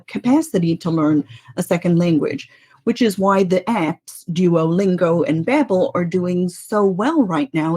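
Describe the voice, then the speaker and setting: Husky woman, faint South African lilt. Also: lowish voice, dark tone. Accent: mixed international with faint South African traces. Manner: conversational, rhetorical questions, frequent "right?". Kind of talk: teaching podcast talk.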